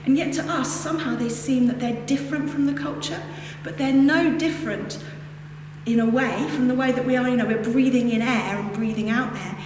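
A woman's voice: fairly high pitch, normal speed. A woman with a northern English accent gives a reflective Buddhist teaching, meandering through casual asides. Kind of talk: dharma talk to a group. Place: hall with a lectern. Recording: echoey hall, distant mic, background noise.

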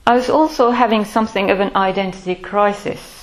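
A woman's voice: medium pitch, rough recording. Reflective older woman. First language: Finnish. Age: 60s. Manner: measured, thoughtful, pausing often. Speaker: Buddhist order member. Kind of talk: reflective personal life-story talk.

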